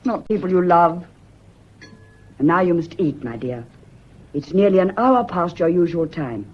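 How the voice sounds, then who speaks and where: A woman's voice: fairly low pitch, expressive, measured pace, husky. An actress playing a wounded, intense lady's companion with a dramatic, reproachful manner in a period radio melodrama. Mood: strained, attentive. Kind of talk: period stage melodrama, radio play acting. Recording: dull, noisy old radio recording.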